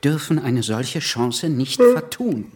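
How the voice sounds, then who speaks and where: An actor playing a tired, calm but insistent revolutionary who stutters on his consonants in a radio drama. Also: medium pitch, slow pace, slight background noise. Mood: quietly insistent. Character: weary, stuttering male revolutionary pressing for action. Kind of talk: naturalistic radio drama acting.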